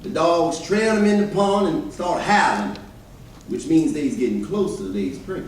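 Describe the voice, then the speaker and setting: A man's voice: fairly high pitch, animated, moderate pace, natural voice. A man playing a formerly enslaved man who recounts escapes in folksy dialect, in a readers theater performance. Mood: storytelling. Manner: folksy dialect storytelling with repeated "now". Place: church hall, recorded from the audience area. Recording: distant mic in echoey hall, background noise.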